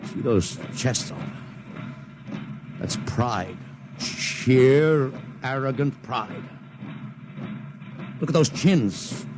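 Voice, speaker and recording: An actor playing a slick, cynical, commanding publicity promoter with bossy patter in a 1950s radio drama. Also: male voice, mid-range voice, lively intonation. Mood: awed, grim. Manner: bossy, fast-talking patter. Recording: noisy vintage 1950s radio recording.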